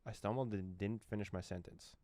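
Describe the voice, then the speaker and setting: A man's voice: fairly low pitch, steady tone, brisk, a little mellow, dry recording. A young man reading a visual novel aloud and translating its lines, with playful jokes and frequent laughter. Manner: playful, joking, laughs often. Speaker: gaming YouTuber.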